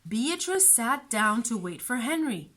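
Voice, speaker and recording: A woman storyteller narrating a children's storybook in an expressive, deliberate way. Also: fairly high pitch, heavy background noise.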